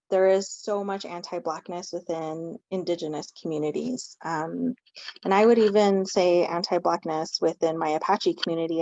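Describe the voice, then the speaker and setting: A woman's voice: lowish voice, a little mellow, no echo, muffled recording. A woman speaking earnestly as a panelist, often restarting with "like" and "um". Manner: earnest, repeating words, frequent "like" and "um".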